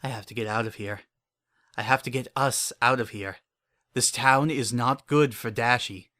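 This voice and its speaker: A man's voice: mid-range voice, natural voice. A man playing a paranoid, obsessive father in a dramatic horror fan fiction reading, with an intense, brooding and repetitive way of talking. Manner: intense, repetitive, brooding, swinging between hushed and frantic. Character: paranoid, obsessive, unhinged father protecting his pony daughter.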